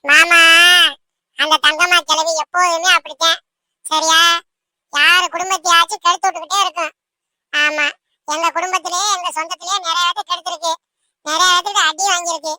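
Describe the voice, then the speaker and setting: A woman's voice: very high voice, pushed and bright, no echo, heavily acted. A woman voicing a caring, gossipy young bride-to-be in a cartoon, chatty and emphatic. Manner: chatty, emphatic, repeatedly seeking agreement. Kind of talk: amateur cartoon dialogue in colloquial speech.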